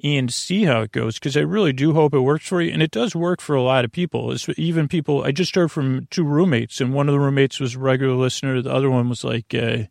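A man with a creaky voice hosting a bedtime podcast in a meandering, halting, earnest monologue that keeps interrupting itself. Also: mid-range voice, darkish tone, no echo, studio quality. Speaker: podcast host.

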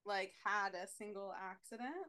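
A woman's voice: mid-range voice, fairly even intonation, moderate pace, pushed and bright, dry recording, clean studio sound. A woman in her late twenties co-hosting a podcast, chatting casually and bluntly, with jokes and swearing. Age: 20s. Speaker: podcast co-host. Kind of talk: casual chat.

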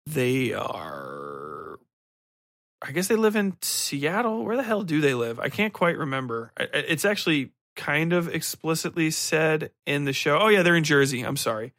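A man hosts an off-the-cuff review in an average-pitched voice. He wanders through his thoughts, hesitating and correcting himself, and swears freely as he gives blunt opinions. The sound is dry, with very little room in it. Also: pushed and bright, no room sound. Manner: rambling, hesitant, self-correcting. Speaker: podcast host reviewing books and TV. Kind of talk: casual unscripted review.

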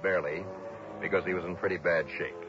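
An actor in a 1960s radio drama playing a tough but concerned insurance investigator, speaking in a clipped, direct way. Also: male voice, deep voice, fairly even intonation, fast, music in the background, close to an everyday voice. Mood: matter-of-fact. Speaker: lead and narrator of detective serial. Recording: dull, noisy old radio recording.

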